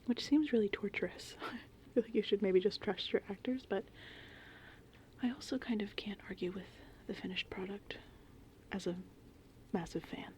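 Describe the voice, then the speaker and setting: A woman in her thirties whispers softly and breathily in a casual, meandering ASMR ramble, chatting informally. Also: mid-range voice, moderate pace, a little hiss or hum. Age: 30s. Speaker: ASMR host, horror film fan. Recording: rain and thunderstorm ambience behind. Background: gentle rain and thunderstorms.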